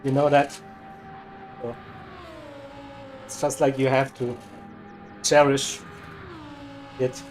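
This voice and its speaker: A man commentating a live sim race, hoarse and cracking, upbeat and laughing a lot. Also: mid-range voice, little pitch movement, slow pace, long pauses, darkish tone, soft background music. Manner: upbeat, laughs often, self-mocking.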